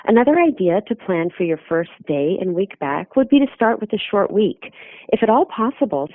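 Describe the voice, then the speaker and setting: A woman's voice: mid-range voice, dry recording. Woman advising on a tinny line. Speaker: lactation consultant giving advice. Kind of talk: scripted advice segment.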